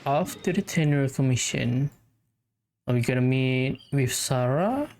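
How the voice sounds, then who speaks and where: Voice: mid-range voice, a little slow, a little mellow, slight background noise. Casual gamer with short, musing reactions. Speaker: game streamer. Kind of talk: casual gameplay commentary.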